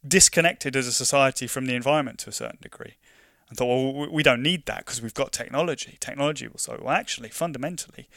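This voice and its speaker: A man's voice: mid-range voice, fairly bright tone, no echo, clean studio sound. A young man from London with a London accent, talking casually in an interview, full of restarts and "you know" fillers. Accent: London English. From London, England. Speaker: conservation project worker.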